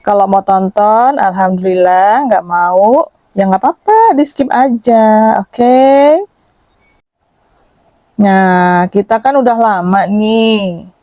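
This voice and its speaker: A woman with a slightly shrill voice, chatting casually and modestly to her viewers in a voice-over as a makeup artist. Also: takes it slowly, mellow, no echo. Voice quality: shrill, thin. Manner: self-deprecating, chatty, addresses viewers as friends. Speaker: makeup artist and channel host. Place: dubbed voice-over, dry close recording.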